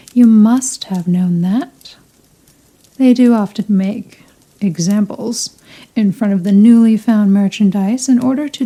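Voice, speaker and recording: A woman acts out an elder vampire woman for an ASMR roleplay, haughty and possessive. Her voice is very dark and mellow, a little high for a woman, and soft enough to slip toward a whisper. She draws her phrases out slowly, teasing and condescending. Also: low-quality recording. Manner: slow, condescending, seductive, drawn-out phrasing. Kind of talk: ASMR roleplay, scripted character acting.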